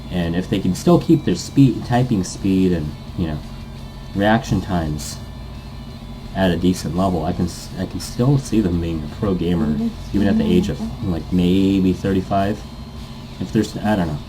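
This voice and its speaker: A man's voice: low voice, mellow, slight background noise, poor audio. A man hosting a game playthrough, rambling and thinking aloud in casual commentary as he reads game text and reacts to it. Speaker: host of a visual novel playthrough series.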